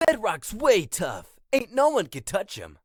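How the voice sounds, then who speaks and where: A woman playing an excitable, stubborn young boy in a comic dub, slangy and teasing. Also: mid-range voice, a little slow, breathy edge, heavily acted. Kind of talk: comic dub character acting.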